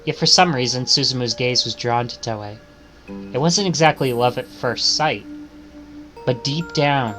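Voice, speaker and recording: A man's voice: medium pitch, sharp tone. A man streaming a visual novel, reading it aloud in English with dramatic narration.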